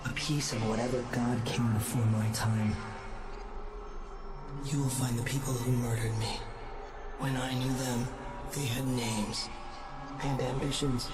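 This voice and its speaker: Guy voicing a mysterious detached god in a game, solemn and measured, average pitch, music really loud behind. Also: close to an everyday voice. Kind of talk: dramatic game cutscene monologue.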